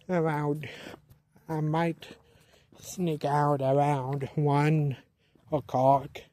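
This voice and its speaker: An eighteen-year-old man vlogging outdoors at night, talking to a handheld camera, slow and repetitive, often restarting his sentences. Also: a little high, a little mellow, a little hiss or hum. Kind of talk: casual vlog narration.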